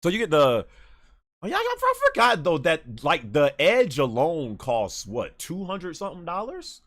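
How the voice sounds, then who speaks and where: A man hosting a livestream and taking callers, talking in casual chat, animated and full of fillers and restarts. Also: fairly high pitch, moderate pace. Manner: animated, laughs often, many fillers and restarts.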